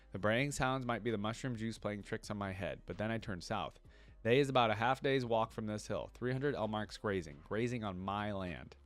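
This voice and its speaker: A man's voice: on the low side, no echo, lightly acted. A man reading a first-person story as a cantankerous, boastful small warrior creature with a folksy, grumbling manner. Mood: indignant.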